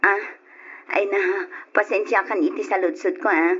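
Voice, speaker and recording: Nosy older boarding-house lady, husky voice, Ilocano accent, radio drama delivery, muffled sound. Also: mid-range voice, steady tone, normal speed, fairly bright tone. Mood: apologetic, frank. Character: blunt, gossipy older woman at a boarding house.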